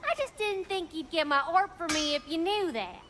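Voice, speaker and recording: A high, lively dwarf smith. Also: female voice, moderate pace, noisy background. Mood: cheerful, sly. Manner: playful, sassy, colloquial. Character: cheeky, flirty, folksy female dwarf smith. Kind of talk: animated video game acting.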